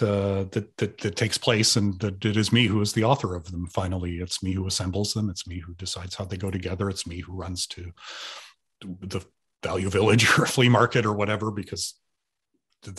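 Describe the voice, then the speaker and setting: A man's voice: lowish voice, breathy edge. A man in his fifties from Serbia, whose first language is Serbian, answering interview questions on a video call, hesitant with many restarts. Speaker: guest artist. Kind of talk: thoughtful conversational interview. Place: home room with sofa.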